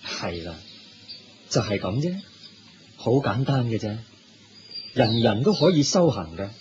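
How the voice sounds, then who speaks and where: An actor with a Hong Kong Cantonese accent playing the Buddha as a serene, kindly teacher in a radio drama, speaking in a measured, reassuring way. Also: male voice, mid-range voice, big pitch swings, background noise. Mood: gentle instruction. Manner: measured, instructive, gently reassuring. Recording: muffled lo-fi radio recording, faint music.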